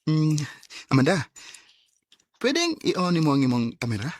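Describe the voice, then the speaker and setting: An actor playing a shy, smitten young man courting online in a radio drama, with a Cebuano Bisaya accent and a husky voice. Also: on the high side, huge pitch swings, normal speed, dry recording. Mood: wistful, smitten.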